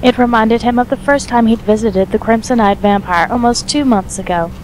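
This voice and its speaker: A woman's voice: on the high side, a little fast, a little mellow, slight background noise, low-quality recording. A woman reading scripted story narration as the narrator of an audio drama.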